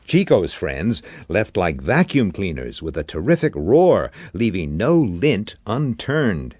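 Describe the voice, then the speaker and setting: Male audiobook narrator: unhurried, lowish, animated intonation, deliberate dramatic literary delivery. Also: dry recording, clean studio sound. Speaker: story reader.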